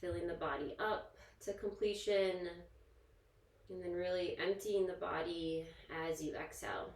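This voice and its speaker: A woman yoga instructor leading a guided relaxation in a soothing, gentle, informal manner, leaving pauses between instructions. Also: on the low side, fairly even intonation. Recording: echoey, roomy sound.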